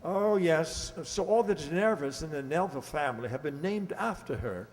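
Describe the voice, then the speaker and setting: A man's voice: a little high, lively intonation, normal speed, lightly acted. An actor playing a flustered, hesitant middle-aged English lord and father in a radio play. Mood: anxious, confiding. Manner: hesitant, fussy, stammering. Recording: echoey hall, long reverb.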